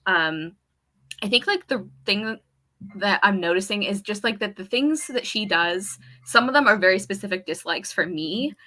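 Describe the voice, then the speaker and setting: Bright-voiced woman chatting about a book. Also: medium pitch, animated, moderate pace. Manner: chatty, laughs often, many fillers. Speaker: book club co-host. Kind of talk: casual book discussion.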